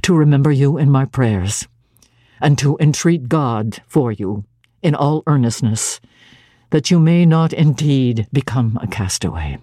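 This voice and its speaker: A woman voicing a stern, cold male clergyman who speaks in a low, measured and severe way. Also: lively intonation, mellow, dry recording, clean studio sound, exaggerated voice. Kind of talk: dramatic reading of a classic novel.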